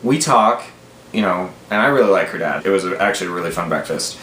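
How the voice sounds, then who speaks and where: Young american guy telling a story to camera, kinda low voice, roomy with faint noise. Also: expressive, fast talker, pushed and bright. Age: 20s. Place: small room at home, indoors.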